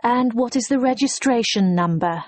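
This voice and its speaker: Woman acting a high-voiced, courteous hotel receptionist. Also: expressive, moderate pace, a little mellow, dry recording. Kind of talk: scripted listening-test dialogue.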